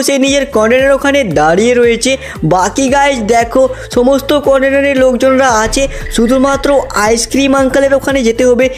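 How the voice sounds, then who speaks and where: A male gaming host gives excited story-style commentary as he plays. He speaks straight to the viewers throughout, in an exclamatory way. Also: very high-pitched. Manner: addresses viewers constantly, exclamatory.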